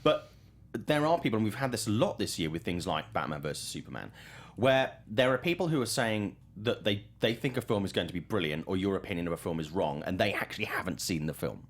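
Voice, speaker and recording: Male film show host, fairly deep voice with big swings in intonation, chatty and informal. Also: expressive, fairly quick. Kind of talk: casual panel chat.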